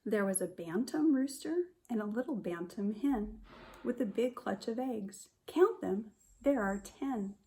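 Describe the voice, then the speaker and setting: A woman kindergarten teacher reading a picture book aloud to young children in a simple, expressive way, speaking to them directly. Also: mid-range voice, a little slow, rough recording. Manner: addresses children directly with questions and prompts.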